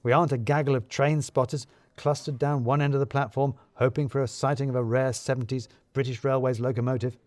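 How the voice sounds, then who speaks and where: A man narrating a scripted first-person story in a wry, dryly comic way with deliberate phrasing. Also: medium pitch, flat delivery, a little mellow, dry recording.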